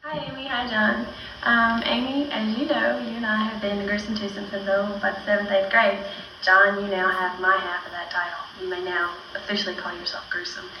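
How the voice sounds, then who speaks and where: Bright East Tennessee woman, echoey VHS recording. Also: mid-range voice, little pitch movement, fairly quick, distant mic, lo-fi sound. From East Tennessee, USA. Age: 20s. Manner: a little camera shy. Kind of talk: informal home video greeting. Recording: roomy, noisy 1990s VHS home video.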